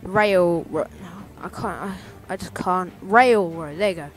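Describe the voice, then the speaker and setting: A man giving casual, rambling let's-play commentary, playful and easily excited. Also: high voice, unhurried. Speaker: gameplay commentator. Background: game music.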